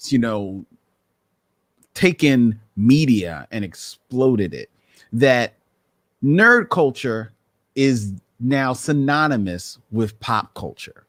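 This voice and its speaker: A man's voice: mid-range voice, expressive, takes it slowly, fairly bright tone, no room sound. A man hosting a podcast in casual chat, talking in long meandering sentences and laughing often. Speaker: podcast host. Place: room full of Batman memorabilia.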